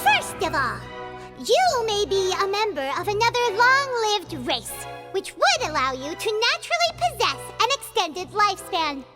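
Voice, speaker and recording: An actress voicing a small, perky childlike companion in an anime game, with a squeaky, earnest, chatty delivery. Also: female voice, very animated, moderate pace, over music.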